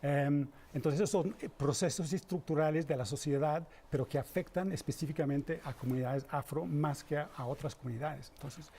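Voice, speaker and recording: A man answering as a research guest in an interview, explaining in a halting way as he searches for words. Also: on the high side, creaky, mellow, slight background noise.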